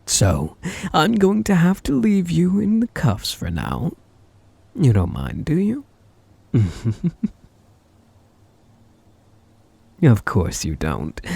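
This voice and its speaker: Breathy, obsessive yandere kidnapper played by a man. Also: sits high, dark tone, no room sound, heavily acted. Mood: controlling, taunting. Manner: cloying endearments, mock-sweet taunting, swinging into giddy mania. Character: possessive, obsessive male yandere kidnapper, gleefully menacing groom-to-be. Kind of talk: ASMR roleplay, intimate dramatic monologue.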